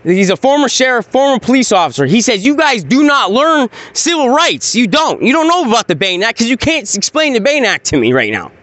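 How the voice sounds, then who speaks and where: A man's voice: extremely high pitch, sing-song, fairly quick, few pauses, a bit bright, dry recording. A man in his forties filming police on a street at night, arguing in an insistent, repetitive, rambling way. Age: 40s. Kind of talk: heated street argument.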